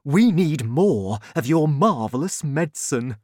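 Woman putting on an excitable, bossy storybook dad, loud and swooping. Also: a bass voice, extremely slow, dry recording, studio quality, full character voice. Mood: excited, insistent. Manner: emphatic, exclaiming, insistent. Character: excitable, bossy middle-aged father, bursting with grand schemes. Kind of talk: children's storybook character voice.

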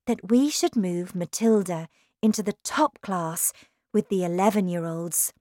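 A woman voicing a timid, kind young schoolteacher who pleads in a high, anxious voice. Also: a little slow, warm tone, no room sound, studio quality, natural voice.

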